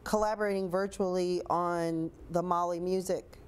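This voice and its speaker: Low-pitched woman hosting an interview. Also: steady tone, normal speed, smooth. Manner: attentive, lots of agreeing murmurs. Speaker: interview host. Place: small studio stage with chairs and plants.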